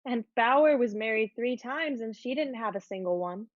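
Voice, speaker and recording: A woman's voice: a little high, lively intonation, normal speed, no echo, close to an everyday voice. Self-assured schoolgirl, slightly muffled, a woman acting. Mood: playful. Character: happy, self-assured, romantic teenage schoolgirl. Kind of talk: dramatic reading of a period play.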